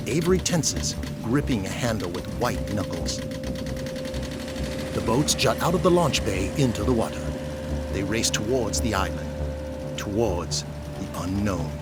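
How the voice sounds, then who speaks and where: A man reading scripted narration for an audio drama. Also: on the low side.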